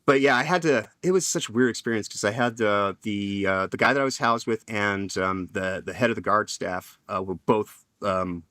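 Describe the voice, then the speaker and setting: A man's voice: lowish voice, normal speed, hardly any pauses, fairly bright tone, no room sound, clean studio sound. A man on a casual panel stream telling a long personal story, rambling with lots of fillers and restarts.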